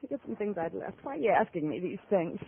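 A woman's voice: mid-range voice, very animated, fast talker. An American woman playing a young widow, bruised, bitter and defensive, in 1950s radio drama style. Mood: upset, defensive. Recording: tinny, noisy old radio recording.

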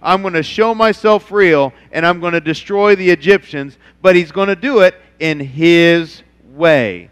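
Middle-aged pastor preaching from the stage, smooth and resonant, long church reverb. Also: male voice, fairly high pitch, unhurried, few pauses. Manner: animated preaching, acts out God's voice in quotes. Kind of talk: sermon.

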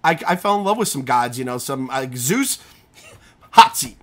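A man's voice: mid-range voice, animated, normal speed, fairly bright tone. A middle-aged man co-hosting a podcast over a video call, joking around with heavy swearing. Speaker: podcast co-host. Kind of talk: casual podcast chat.

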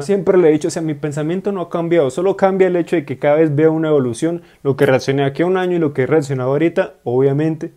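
A young man, chatting excitedly in slang as he reacts to a video in a small room, speaking into earphones. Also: fairly high pitch. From Colombia. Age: 20s. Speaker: YouTube reactor. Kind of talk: casual reaction commentary. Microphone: wired earphone mic.

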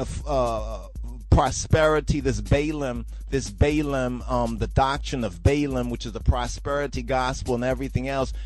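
A man's voice: medium pitch, a little mellow, low-quality recording. A man hosting a religious talk podcast, rambling and preachy, often checking "you understand?". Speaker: podcast host.

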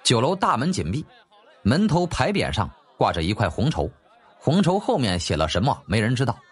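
起伏生动的男声有声书演播. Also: male voice, mid-range voice, wildly swooping pitch, normal speed, slightly rough. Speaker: audiobook narrator. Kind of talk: dramatic scripted narration of a historical novel.

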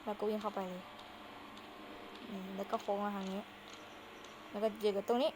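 A woman's voice: mid-range voice, level tone, fairly quick. A woman giving casual commentary as she hosts a game playthrough. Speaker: gaming video host. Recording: clearly noisy home recording.

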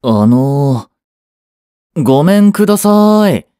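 A man's voice: on the high side, very animated, slow, mellow, clear, resonant tone, dry recording, studio quality. A man playing a curt, sulky young boyfriend in a romance audio drama, with a blunt, muttering manner. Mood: hesitant, puzzled. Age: young adult. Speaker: voice actor.

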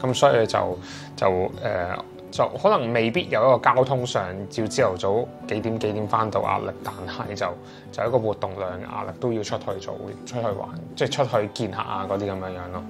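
A man's voice: lowish voice, a little fast, gravelly, over music. Hesitant Hong Kong Cantonese man. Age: 30s. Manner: hesitant, many fillers and restarts. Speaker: interviewee. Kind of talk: casual interview chat. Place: indoors in a sea-view flat.

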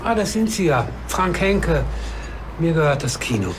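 An actor playing a proud cinema owner, husky and boastfully emphatic. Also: male voice, fairly high pitch, big pitch swings, normal speed, noisy background. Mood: obliging.

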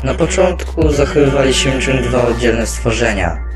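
A man voicing an excitable, friendly skeleton artist who tells a long tale expressively in an animated fan dub. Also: medium pitch, moderate pace, close to an everyday voice. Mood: earnest storytelling. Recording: background music under the voice.

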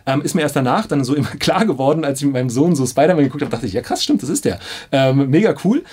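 A man's voice: medium pitch, sing-song, fast talker, a little mellow, breathy edge. A man in his thirties talking casually and enthusiastically to camera as a producer in a small studio. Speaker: audio drama producer and casting director. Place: small home studio indoors.

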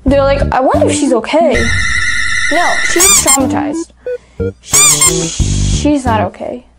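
A young woman with a high, lively voice reacts aloud to videos. She exclaims often and echoes or mimics what she hears, over loud music. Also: slow pace. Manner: repeats and mimics lines, exclamatory. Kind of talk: reacting aloud to comic dubs and animations. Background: loud music and video audio.

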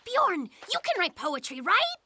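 A performer voicing a boastful, excitable young brown bear cub who sings and raps in a cartoon. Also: male voice, very high-pitched, measured pace, slight background noise, heavily acted. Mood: excited. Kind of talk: cartoon voice acting. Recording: music playing underneath.

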